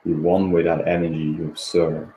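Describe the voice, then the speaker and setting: Guy doing slow guided meditation, really mellow, gentle n repetitive, bit of background noise. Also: low voice, little pitch movement. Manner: gentle, repetitive, unhurried with long pauses. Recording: roomy echo, faint background noise.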